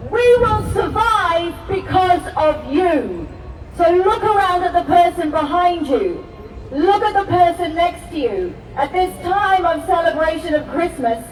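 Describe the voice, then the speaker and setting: A middle-aged woman from Palestine giving a fervent, declamatory protest speech at an outdoor rally. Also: extremely high pitch. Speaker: rally speaker. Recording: echoey rally PA from far off with crowd noise.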